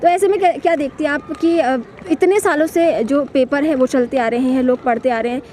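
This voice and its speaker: A woman's voice: high-pitched, brisk, dark tone, a little hiss or hum. A woman reporter asking questions in a street interview outdoors in a park, with long, winding questions full of fillers.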